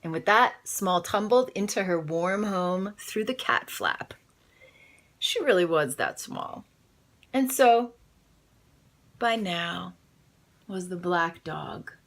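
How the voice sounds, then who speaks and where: A woman reading a children's storybook aloud in an expressive, dramatic way. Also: fairly low pitch, slow pace, pauses often. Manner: animated, dramatic read-aloud delivery. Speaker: storybook reader. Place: in bed, indoors.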